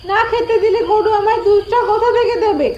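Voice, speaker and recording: Shrill, whiny bossy big sister. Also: female voice, a little fast, mellow, lo-fi sound, slight background noise. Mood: angry scolding. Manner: shrill complaining, wailing and scolding. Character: whiny, bossy young village elder sister, scheming and quick to scold. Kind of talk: exaggerated cartoon voice acting.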